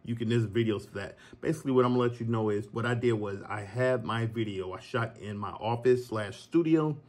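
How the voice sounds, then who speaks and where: A man in his thirties giving a relaxed, chatty software tutorial from his home office, recorded on a phone and talking to viewers as y'all. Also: medium pitch, a little fast. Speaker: filmmaker teaching a tutorial. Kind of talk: casual screen-recorded tutorial. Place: green screen studio, then home office.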